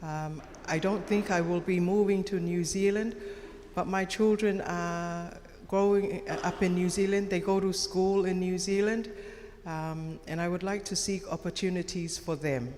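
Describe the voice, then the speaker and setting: A woman's voice: fairly low pitch, steady tone, unhurried, a little mellow. A woman, politely addressing a meeting as she introduces herself. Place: community hall. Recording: echoey hall, background noise.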